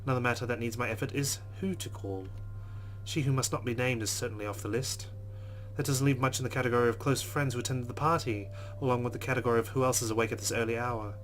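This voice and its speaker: A man reads a game's first-person story aloud in an earnest voice at a middle pitch, then drops into casual asides. A faint hum sits under his voice. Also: steady tone, fast, rough recording. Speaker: let's play host. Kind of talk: reading game narration aloud with casual comments.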